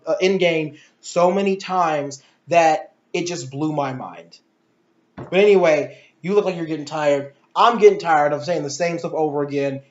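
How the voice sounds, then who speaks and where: A woman giving an opinionated, casual movie review, ranting emphatically with swearing and a rambling, exasperated delivery. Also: sits low. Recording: roomy echo, dull lo-fi sound.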